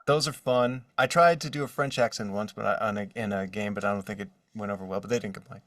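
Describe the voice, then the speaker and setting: A man with a lowish voice talks casually as an interview guest. He has a joking manner, and he sounds set back from the microphone. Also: steady tone, fast, a bit bright, studio quality. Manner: joking, slips into mock accents to demonstrate. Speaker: voice actor guest. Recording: roomy echo, distant from the mic.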